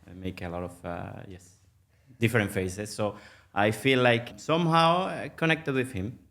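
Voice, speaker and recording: Low-voiced venezuelan guy, spanish accent, lots of uh and restarts, chatty interview. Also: a bit bright. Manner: halting, many fillers and restarts. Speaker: guest, mergers and acquisitions manager. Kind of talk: casual interview.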